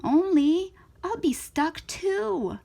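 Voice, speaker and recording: A woman reading a children's story aloud in an expressive, theatrical way, with emphatic stretched words. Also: very high-pitched, drawn-out words, creaky. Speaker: storytime reader of children's books.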